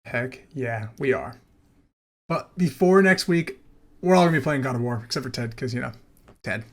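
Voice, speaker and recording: A man's voice: medium pitch, lively intonation, normal speed. A man hosting a gaming podcast in a casual, conversational style. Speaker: podcast host.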